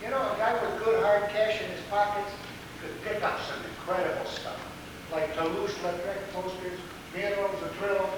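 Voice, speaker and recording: A man playing a cynical art dealer, high-pitched, echoey. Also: fairly even intonation, moderate pace, lightly acted. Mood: worldly, self-justifying. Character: cynical middle-aged male art dealer, slick and defensive. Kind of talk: amateur stage play acting. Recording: echoey noisy stage recording from far off.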